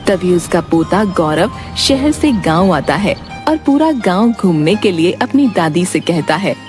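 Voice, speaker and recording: A woman's voice: fairly low pitch, unhurried. A woman giving scripted narration for a cartoon story. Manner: steady, storytelling. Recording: background music.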